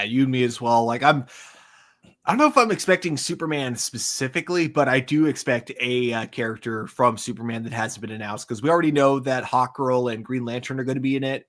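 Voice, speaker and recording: A man's voice: medium pitch, fairly bright tone. A man co-hosting a casual fan discussion show, hesitant as he searches for his words. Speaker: co-host of a live entertainment news show.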